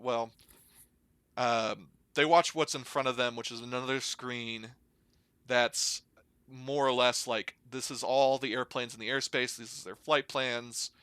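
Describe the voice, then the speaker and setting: A young American man with a bright, forward voice, recorded close and dry. He talks casually, as if explaining things to a friend. His delivery is informal and rambling, with plenty of slangy hedging. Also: medium pitch, clean tone, no room sound. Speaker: podcast guest, air traffic controller. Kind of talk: casual conversational storytelling and explanation.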